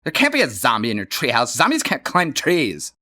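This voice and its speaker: A man's voice: medium pitch, huge pitch swings, fairly quick, sharp tone, dry recording, clean studio sound, pitched above the performer's own voice, full character voice. A man voicing a bossy, scoffing older sister in a read-aloud storybook. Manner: dismissive, know-it-all.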